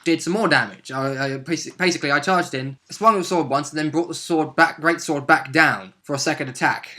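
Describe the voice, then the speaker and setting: A man's voice: a little high, a little fast, few pauses, bright, forceful tone. A man casually recounting his moves as a player in a tabletop roleplaying game, in a jokey way. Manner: jokey, chuckling often.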